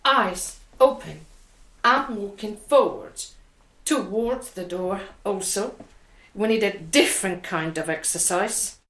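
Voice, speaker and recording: Dismissive poetry newcomer, radio drama, blunt, dry, echoey. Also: female voice, medium pitch, slow pace, fairly bright tone. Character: blunt, unimpressed female poetry group newcomer. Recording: echoey room.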